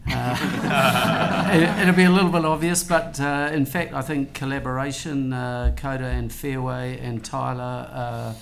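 A man's voice: medium pitch, steady tone, a little slow, vocal fry, a bit bright. A man from New Zealand speaking on a conference panel, hesitant with many uhs. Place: conference room with audience. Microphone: table microphone.

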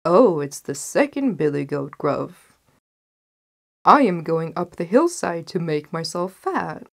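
A woman's voice: fairly low pitch, very animated, slow pace, vocal fry, full character voice. A woman voicing a meek middle-sized storybook billy goat, pleading. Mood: plain, steady.

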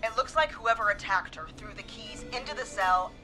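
A woman's voice: mid-range voice, normal speed, bright, forceful tone, soft background music, lightly acted. A woman playing a frightened but determined police dispatcher in a video game. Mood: urgent, insistent.

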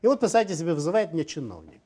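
A man in his fifties argues his point in a television studio, his speech leaping into loud emphatic bursts and sinking into low asides. His voice sits a little high for a man yet sounds warm and mellow, picked up by a lapel mic. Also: big pitch swings, very fast, husky. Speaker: guest. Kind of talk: animated political discussion.

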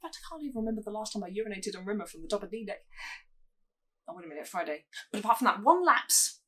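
A woman playing a self-satisfied male spaceship crewman, rambling in mock earnest through a deadpan sitcom log. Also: mid-range voice, rapid-fire. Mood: smug sincerity. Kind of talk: sitcom monologue acting.

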